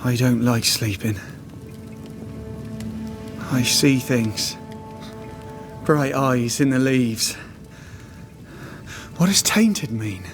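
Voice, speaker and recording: An actor playing a haunted, starving trapper, simple, devout and loyal, speaking in plain rustic phrases in a game drama. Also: male voice, mid-range voice, music in the background. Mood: troubled, guarded. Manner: rustic dialect phrasing, plain halting sentences. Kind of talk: dramatic video game cutscene acting.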